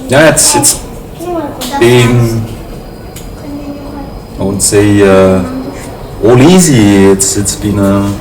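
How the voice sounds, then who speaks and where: A man's voice: fairly low pitch, drawn-out words, pauses often, crowd noise. A Samoan man in his thirties talking over casual conversation at home, thoughtful and hesitant, with fillers and restarts. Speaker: interviewee, family host. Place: home in a city. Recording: roomy, distant mic with noticeable noise.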